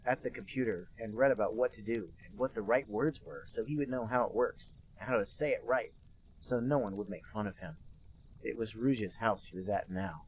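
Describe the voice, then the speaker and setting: A man's voice: mid-range voice, slightly rough, poor audio. A young man, podcast co-host, narrating in a mock-serious comedic reading with dry asides.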